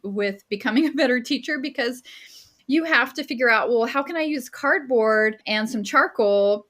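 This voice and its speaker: A lively woman in her forties from New Mexico chats in an interview, her voice sitting a little high. She laughs often and rushes ahead when excited, keeping an eager, open tone. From Las Cruces, New Mexico, US. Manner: enthusiastic, laughs often, quick bursts. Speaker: guest artist and teacher. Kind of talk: casual interview talk.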